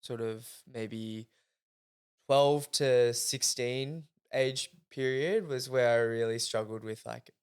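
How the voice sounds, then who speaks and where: A man's voice: medium pitch, slow, clear, resonant tone. A man born in Australia co-hosting a podcast, talking candidly and thoughtfully. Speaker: podcast co-host. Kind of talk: casual podcast conversation.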